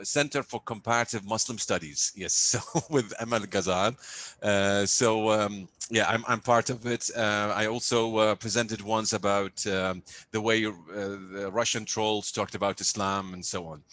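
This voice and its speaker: A man's voice: on the low side, fairly even intonation, a little slow, a bit bright. A man from Iraq, a university faculty member, introducing himself on a video call with many fillers and restarts. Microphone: computer microphone on a video call.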